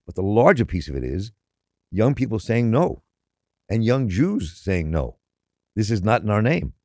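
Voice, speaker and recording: A man's voice: lowish voice, big pitch swings, normal speed, a little mellow, no echo, studio quality. An older man hosting a radio interview, rambling through his questions with many restarts. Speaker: interview host.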